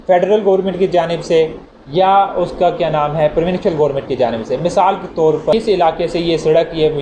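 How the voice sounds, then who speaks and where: Pakistani guy teaching like a lecture, kinda higher voice but soft edged, roomy echo, bit of noise. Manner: didactic, repeats phrases to explain. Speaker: law lecturer. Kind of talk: explanatory lecture with examples, mixing Urdu and English. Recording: echoey room with faint background noise.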